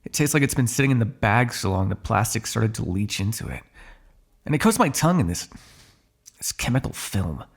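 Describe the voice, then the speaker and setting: A man's voice: fairly low pitch, big pitch swings, a little fast, gravelly, full character voice. A man playing a sardonic, foul-mouthed vampire on the run in an ASMR roleplay, talking in a conversational, exasperated way. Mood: disgusted.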